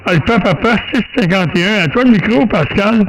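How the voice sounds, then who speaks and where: A man's voice: high voice, animated, moderate pace, fairly bright tone, rough recording. A man with a Quebec French accent chatting casually as an amateur radio operator, colloquial and repetitive.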